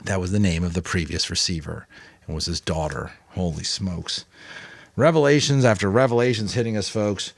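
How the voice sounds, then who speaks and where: A man's voice: low-pitched, moderate pace, creaky, no room sound. A man narrating an audiobook in a dramatic reading, with some casual commentary.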